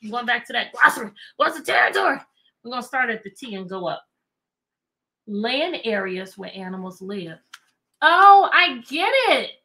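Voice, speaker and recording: A middle-aged American woman reading aloud to children as a teacher, animated and chatty, often asking questions. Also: a little high, normal speed. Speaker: teacher and read-aloud host. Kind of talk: interactive children's read-aloud lesson. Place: indoor room with bookshelves.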